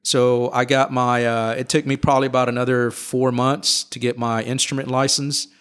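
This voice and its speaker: Relaxed middle-aged man explaining flying. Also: medium pitch, normal speed, clean tone. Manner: relaxed, explanatory, frequent fillers and restarts. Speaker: airline captain guest. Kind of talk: casual interview storytelling.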